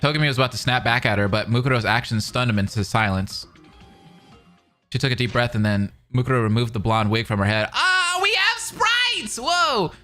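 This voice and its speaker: A man reading story narration aloud and breaking into casual, excitable reactions as a commentator. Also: mid-range voice, moderate pace, soft background music. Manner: excitable, expressive.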